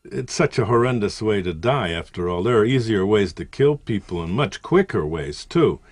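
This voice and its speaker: Brief: male author interviewee, lowish voice, dry close sound, chatty corrections. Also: lively intonation, moderate pace, slightly rough, dry recording. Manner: conversational, self-correcting explanation. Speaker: author and historian. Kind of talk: expert interview commentary.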